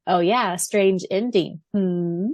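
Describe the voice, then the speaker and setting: A woman's voice: lowish voice, slow, a little mellow, no echo. A woman co-hosting a fan podcast, chatting casually and reading aloud. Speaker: podcast co-host.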